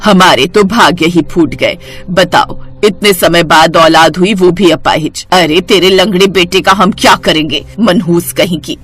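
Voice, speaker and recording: A woman's voice: on the low side, a little slow, a bit bright, slightly rough, faint music behind. A woman playing a fickle, bluntly emotional older mother-in-law in a moral story. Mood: bitter, scolding.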